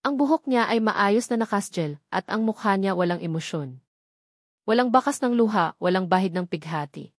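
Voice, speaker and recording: A woman's voice: mid-range voice, animated, normal speed, no echo, studio quality. A woman reading a scripted first-person dramatic story as narrator.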